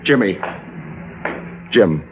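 A sombre, low-voiced narrator telling a strange tale about his friend in a mid-century radio play. The sound is thin and tinny, with loud music behind him. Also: expressive, extremely slow, heavily acted. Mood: sombre, consoling. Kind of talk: 1940s-50s radio drama narration.

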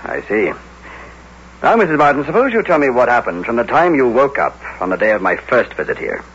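Radio police inspector, rough husky voice, measured, courteous, doubting, dull old recording. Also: male voice, medium pitch, sing-song, a bit bright. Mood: politely probing. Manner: deliberate, polite, probing. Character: measured, politely skeptical male police inspector. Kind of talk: 1940s-50s radio drama acting.

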